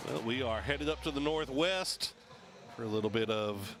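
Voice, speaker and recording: Chatty esports caster. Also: male voice, mid-range voice, lively intonation, fairly bright tone. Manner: chatty, teasing, quick to laugh. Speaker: esports commentator. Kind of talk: live play-by-play commentary.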